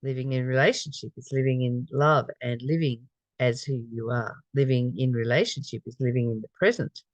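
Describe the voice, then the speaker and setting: A spiritual study reader with a medium-pitched voice, recorded dry with very little room sound. The delivery moves between reading aloud and informal reflection, often repeating a phrase or putting a question to the listener. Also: normal speed, dry recording.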